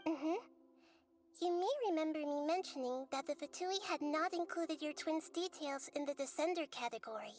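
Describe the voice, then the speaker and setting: A high, youthful voice over soft music, a woman playing a wise child goddess from a fantasy game. She is gentle and earnest, choosing her words in a measured, articulate way. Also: natural voice. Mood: calm, explanatory. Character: gentle, wise young girl deity of a nation. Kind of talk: scripted video game voice acting.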